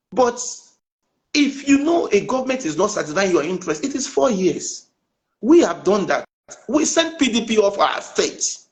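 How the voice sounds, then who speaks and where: A man's voice: high-pitched, poor audio. A Nigerian man with a Nigerian accent, a politician guest in a heated panel debate, who talks insistently over the host.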